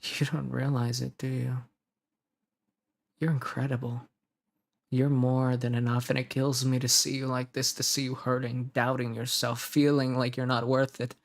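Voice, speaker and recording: Man acting a creaky-voiced, intensely devoted lover. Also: mid-range voice, level tone, natural voice. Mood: fervent, protective. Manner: pleading, earnest, dramatic pauses. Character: intensely devoted male lover, tender, protective, possessive. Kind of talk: romantic roleplay audio acting.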